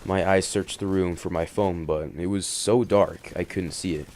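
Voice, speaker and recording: Male horror-story host, fairly deep voice, dramatic delivery that moves between hushed and emphatic. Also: fairly even intonation, moderate pace, a little hiss or hum. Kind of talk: dramatic first-person horror narration with casual commentary.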